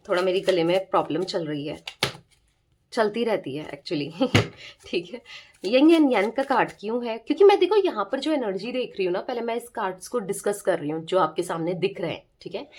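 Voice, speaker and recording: A woman with a hoarse, strained throat leads a casual tarot reading in a chatty way. She keeps checking in with her listeners. When she calls on the cards, she slows into soft, drawn-out invocations. Her pitch sits mid-range. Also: a little hiss or hum. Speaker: tarot reader.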